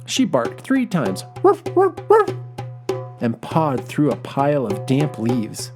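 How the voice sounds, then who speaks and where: A man narrating a children's folktale and asking discussion questions, reading gently and expressively. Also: medium pitch, very animated, a little slow. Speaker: storyteller. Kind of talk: scripted children's story narration. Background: music.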